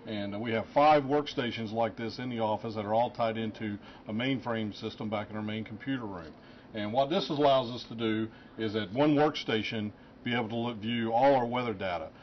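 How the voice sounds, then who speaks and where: Middle-aged male forecaster, lowish voice, halting matter-of-fact explainer, noisy office sound. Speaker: lead weather forecaster. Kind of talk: informal technical explanation. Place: forecast office at computer workstation. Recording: muffled audio with clear background noise.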